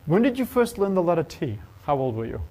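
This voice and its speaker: A man's voice: on the high side, fast. A man giving a university math lecture, asking the class questions and joking with students. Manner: lively, asks the class questions and jokes. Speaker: math professor. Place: lecture hall.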